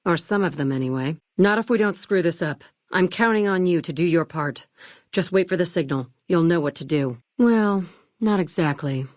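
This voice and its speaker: Low-voiced, coolly commanding woman crime boss played by an actress. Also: animated, no echo, studio quality, close to an everyday voice. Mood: businesslike. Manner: measured, polished, coolly commanding. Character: shrewd, coolly controlled woman arms dealer and crime-family boss. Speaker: voice actor in a video game. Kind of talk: scripted game dialogue acting.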